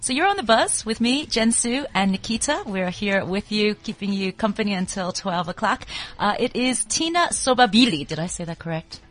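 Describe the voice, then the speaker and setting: Loud-voiced woman hosting radio. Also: mid-range voice, lively intonation, moderate pace, few pauses. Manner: loud, chatty, laughs easily. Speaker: radio host. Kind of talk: radio talk show. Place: radio studio.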